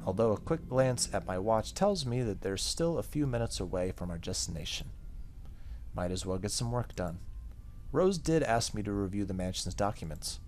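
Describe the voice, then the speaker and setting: Low-voiced man reading a story aloud. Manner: stumbles over text and rereads. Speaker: gaming video host. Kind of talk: reading visual novel narration aloud. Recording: faint background noise.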